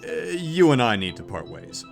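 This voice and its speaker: An actor playing an eager, nervous, loyal sidekick in a comedic audio drama, with boyish enthusiasm. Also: male voice, a little high, sing-song, normal speed, creaky, faint music behind. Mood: uneasy. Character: eager, excitable young male sidekick, nervous but loyal.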